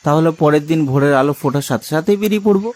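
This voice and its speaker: A man narrating a cartoon ghost story in a calm, measured storytelling voice. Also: a little high.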